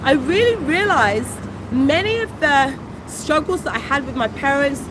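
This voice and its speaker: A British woman in her forties chatting casually and excitedly on a phone livestream while out on a city street, breaking into exclamations between her thoughts. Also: sits high, a little slow. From United Kingdom. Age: 40s. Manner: chatty, exclamatory, warm asides. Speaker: vlogger. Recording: street traffic noise behind. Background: passing traffic.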